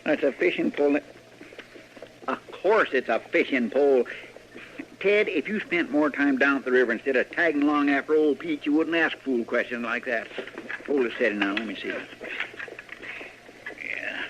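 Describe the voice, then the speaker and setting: A man playing a kindly, gently scolding frontier deputy with a rural American drawl in an old-time radio Western. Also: medium pitch, moderate pace, long pauses, lightly acted. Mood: genial. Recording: dull, faintly noisy old radio recording.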